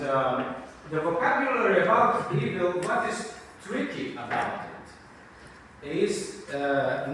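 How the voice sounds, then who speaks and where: A middle-aged man with a Greek accent giving an unscripted university conference talk, halting with many fillers and restarts and a dry self-deprecating humor. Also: a little high, fairly bright tone, slight background noise. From Greece. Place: large university lecture hall. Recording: echoey lecture hall recorded from far off.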